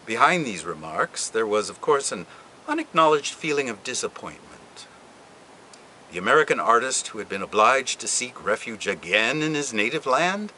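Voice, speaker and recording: A man reading a book passage aloud, with an expressive, deliberate delivery and dramatic pauses. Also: medium pitch, slight background noise, rough recording. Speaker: reader. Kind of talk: literary reading.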